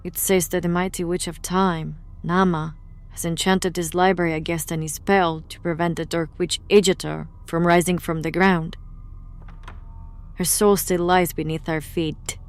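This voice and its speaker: A woman's voice: fairly low pitch, flat delivery, normal speed, clean tone, close to an everyday voice. A woman acting in an audio drama as a guarded, wry young woman who knows occult rituals and explains them in an understated way. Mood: explaining, serious.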